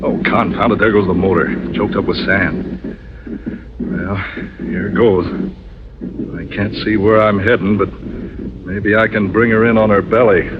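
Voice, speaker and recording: An actor playing a grumbling, gruff desert pilot for hire in a 1940s style radio drama, often muttering to himself. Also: male voice, lowish voice, steady tone, unhurried, pauses often, natural voice. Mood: tense, alarmed. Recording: scratchy, muffled old radio recording.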